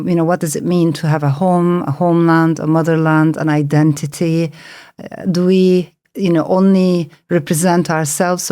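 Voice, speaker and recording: A woman's voice: sits low, warm tone. A middle-aged woman novelist from Turkey, a native Turkish speaker, answering interview questions in a thoughtful, searching way with fillers and restarts. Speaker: novelist guest.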